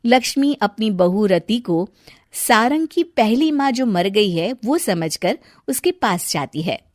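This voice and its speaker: Animated woman storyteller, dry studio sound. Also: medium pitch, slow pace, no echo. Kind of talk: scripted story narration, expressive.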